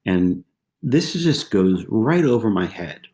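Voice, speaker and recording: A man in his forties with a very low voice, speaking as a podcast guest. He tells his stories casually, circling back to repeat phrases and checking in with "right?". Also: big pitch swings, measured pace, darkish tone. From southwestern Pennsylvania, USA. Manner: conversational, repeats phrases, tags lines with "right?". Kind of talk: casual interview storytelling.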